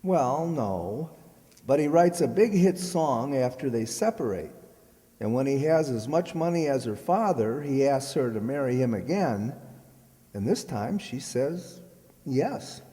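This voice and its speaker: Through long hall reverb, an actor plays a dull-witted, earnest young man in a radio comedy. He talks very slowly in a mellow voice. The delivery is plodding and naive. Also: mid-range voice, animated, natural voice. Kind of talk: amateur stage-style old radio comedy acting.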